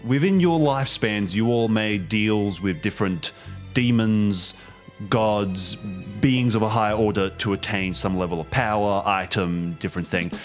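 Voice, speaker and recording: A man playing a harried, officious agent of a goddess company in a tabletop game, explaining things haltingly. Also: on the low side, faint music behind, lightly acted. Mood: officious, explanatory.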